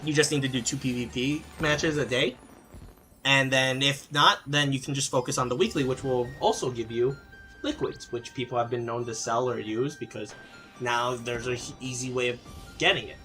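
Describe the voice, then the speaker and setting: A man's voice: medium pitch, steady tone, moderate pace, sharp tone, faint music behind. A man streaming a game, answering chat questions casually with plenty of fillers and restarts. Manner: rambling, many fillers and restarts.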